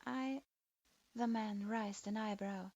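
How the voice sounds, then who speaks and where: A woman reading a fan fiction story aloud in an even storytelling manner. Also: mid-range voice, measured pace, no room sound. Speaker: story reader. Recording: dull lo-fi.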